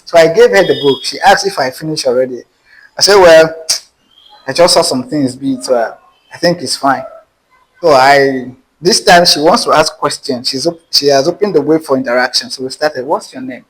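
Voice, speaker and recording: A man's voice: fairly high pitch, normal speed, breathy edge, low-quality recording. A man with a Nigerian accent, a guest animatedly telling a personal dating story and acting out the dialogue. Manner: animated, acts out quoted dialogue. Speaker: interview guest. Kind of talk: casual storytelling.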